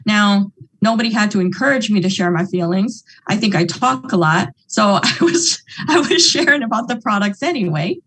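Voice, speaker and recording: A woman's voice: medium pitch, lively intonation, moderate pace, fairly bright tone, slight background noise, poor audio. A woman from Hawaii giving a motivational sales talk in an earnest, chatty way, often checking in with "okay?" and "right?". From Hawaii, United States. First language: English. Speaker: network marketing leader.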